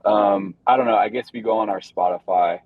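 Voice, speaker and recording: A man's voice: on the low side, a little fast, no echo. A young man, a band member, chatting casually in an interview, rambling and laid-back with lots of fillers. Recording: dull lo-fi recording.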